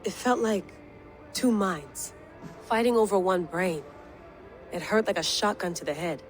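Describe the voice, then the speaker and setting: An actress playing a troubled, guarded field operative in a video game, earnest and uneasy, speaking hesitantly. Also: female voice, medium pitch, measured pace, lightly acted. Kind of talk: naturalistic video game acting. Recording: music playing behind the voice.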